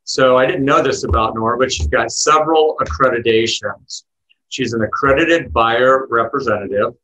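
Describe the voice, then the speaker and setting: A man's voice: medium pitch, bright, forceful tone, low-quality recording. A man hosting an interview podcast, introducing a guest and asking upbeat questions. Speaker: podcast host and lawyer.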